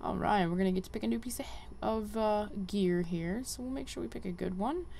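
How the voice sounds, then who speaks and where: A woman's voice: medium pitch, a little fast, vocal fry, dark tone, a little hiss or hum. A woman giving casual, chatty game walkthrough commentary, thinking aloud as she plays. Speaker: gaming video host.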